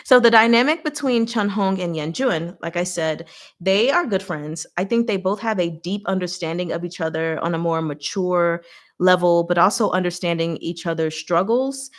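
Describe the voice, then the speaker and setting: A woman hosting a live recap in a casual, chatty, expressive style, talking to her audience like a fellow fan. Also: lowish voice, normal speed. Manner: chatty, expressive, giggly, slangy. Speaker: host of a live book recap and discussion.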